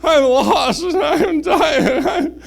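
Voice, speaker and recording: A man voicing a tiny lost male field mouse with a high, squeaky, whimpering voice that keeps breaking into laughter. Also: slow, vocal fry, full character voice. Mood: frightened.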